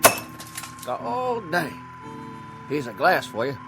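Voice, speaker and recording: A jumpy, eager-to-please Western barkeep. His voice is fairly high and lively, folksy and fawning, with music behind it. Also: slow, full character voice. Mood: nervous fawning.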